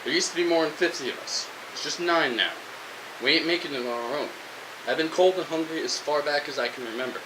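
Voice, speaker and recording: A man's voice: on the high side, fairly quick, lightly acted. Man acting as fed-up survivor, hissy recording. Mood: frustrated, despairing. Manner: argumentative, sarcastic. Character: fed-up, defiant, hungry male apocalypse survivor. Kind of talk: post-apocalyptic audio drama acting. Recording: steady hiss, noisy radio-style recording.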